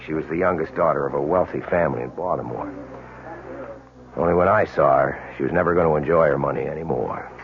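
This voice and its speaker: Deep-voiced, dogged police detective. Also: male voice, a little hiss or hum. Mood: grim, wry. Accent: American. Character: dogged male police detective, earnest, persuasive, world-weary. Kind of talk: 1970s radio drama acting. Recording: dull, lo-fi old radio drama recording.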